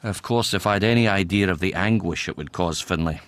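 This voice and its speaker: An actor in a radio drama playing and narrating as a tolerant older Scottish doctor, dry and avuncular. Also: male voice, low voice, brisk, a bit bright. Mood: dry, wry.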